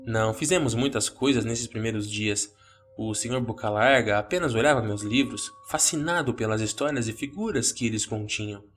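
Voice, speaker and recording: A man's voice: medium pitch, moderate pace, a bit bright. Brazilian man narrating over music. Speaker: horror podcast narrator and host. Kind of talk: first-person horror story narration.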